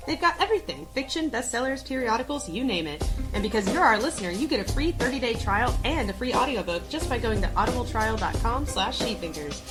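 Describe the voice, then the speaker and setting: A woman with a fairly high voice delivers scripted ad copy as a podcast co-host. Music plays loudly behind her words. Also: expressive, quick pace. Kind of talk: scripted ad read. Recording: loud music under the voice. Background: loud music.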